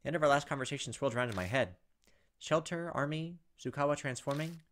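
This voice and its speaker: A man's voice: on the high side, fast, a bit bright, a little hiss or hum. A man hosting a gaming video, reading a visual novel aloud with casual, profane asides. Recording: music behind the voice. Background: game music.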